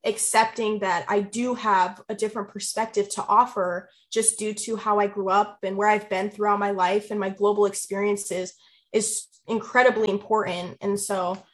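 A woman in her twenties, answering an interviewer with an earnest, heartfelt reflection in measured phrases. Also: medium pitch, level tone. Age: 20s.

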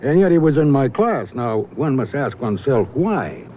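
Wry, knowing gossip, played by a male actor, swinging pitch, theatrical emphasis, tinny vintage radio sound with hiss. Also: sing-song, normal speed, lightly acted. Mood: wry, gossipy. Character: gossipy, knowing man sizing up an oddball acquaintance. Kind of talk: 1970s radio drama acting. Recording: tinny, band-limited old radio recording with hiss.

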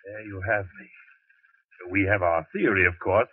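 An actor playing a fussy, pompous weather bureau chief in a 1940s radio drama, flustered and lecturing. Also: male voice, low-pitched, moderate pace, slightly rough. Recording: tinny, hissy old radio broadcast.

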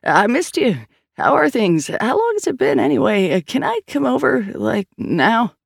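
A man's voice: very high-pitched, big pitch swings, moderate pace, creaky, no echo, studio quality, pitched above the performer's own voice, exaggerated voice. A man voicing a needy, pleading younger brother who rambles and stammers in an audiobook. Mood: gushing, desperate. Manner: stammering, gushing, over-eager.

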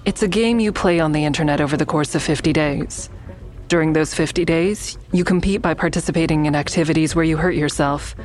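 A woman playing a guarded, earnest woman in an audio drama, speaking in a measured, evasive way. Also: low-pitched, a little mellow, faint music behind, natural voice. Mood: serious, explaining. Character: guarded, earnest woman negotiating with police, also narrating. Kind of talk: naturalistic audio drama acting and narration.

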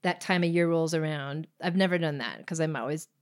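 A woman speaks in a slightly low register as a filmmaker being interviewed. She talks casually and candidly, with a self-deprecating way of putting things. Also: brisk. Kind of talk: casual conversation.

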